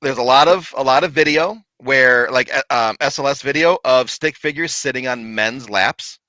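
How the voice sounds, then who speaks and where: A man telling a lively, laughing story from his paranormal investigations in casual chat. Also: medium pitch, moderate pace, dry recording, low-quality recording. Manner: animated, laughing, big voice.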